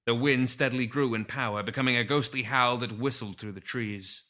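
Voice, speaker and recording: A man's voice: mid-range voice, fairly even intonation, brisk, sharp tone. A man reading scripted fiction aloud as a storyteller, with a theatrical, suspenseful delivery. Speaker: story reader. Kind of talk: scripted dramatic fiction narration.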